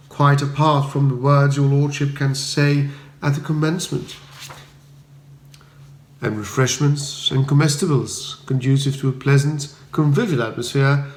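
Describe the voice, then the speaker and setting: A man acting an English valet, measured, courteous, deferential, unflappable, formal, roomy recording, comic audiobook. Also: medium pitch, lightly acted. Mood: composed, deferential.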